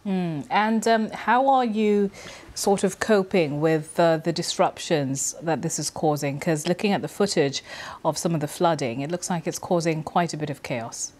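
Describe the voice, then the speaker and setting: A woman news anchor presenting the news live and interviewing a guest in a measured, polished way. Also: fairly low pitch, darkish tone.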